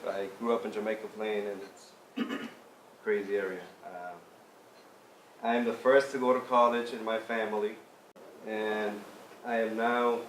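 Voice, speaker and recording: A young man with a low voice speaks quietly and hesitantly, like a student giving an interview. He sounds far from the mic in an echoey room with faint background noise. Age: young adult. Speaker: college student in a youth jobs program.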